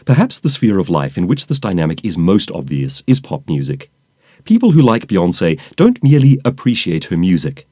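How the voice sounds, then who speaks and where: Guy reading his business audiobook, super animated, speechy and emphatic, mellow tone, dry close sound. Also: low voice, normal speed, no room sound. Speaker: author and professional speaker reading own audiobook. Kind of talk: scripted audiobook narration.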